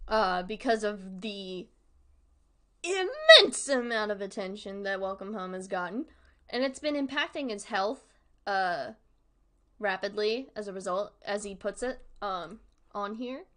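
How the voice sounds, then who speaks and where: A woman's voice: mid-range voice, expressive, unhurried, a bit bright. A woman hosting a livestream, talking casually to viewers in a chatty, emphatic way and repeating points for emphasis. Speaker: streamer hosting a discussion.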